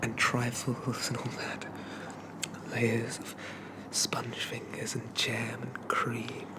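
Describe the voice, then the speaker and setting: A man playing a shy, old-fashioned undead zombie gentleman in an ASMR roleplay, speaking in a soft, breathy half-whisper with hesitant, quaintly polite phrasing. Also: medium pitch, monotone, takes it slowly, lightly acted. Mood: wistful, fond. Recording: constant loud background noise.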